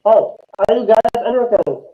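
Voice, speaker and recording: A man with a Moroccan accent introducing himself haltingly, repeating words, over a garbled weak internet connection. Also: sits high, mellow, low-quality recording. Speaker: student, trainee teacher. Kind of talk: hesitant self-introduction.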